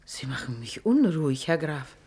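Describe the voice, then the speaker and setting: A woman's voice: sits low, big pitch swings, normal speed, a little mellow, slightly rough, heavily acted. An actress playing a watchful, commanding middle-aged noblewoman and mother, formal and insistent, in a classical German radio play. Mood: uneasy. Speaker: actor.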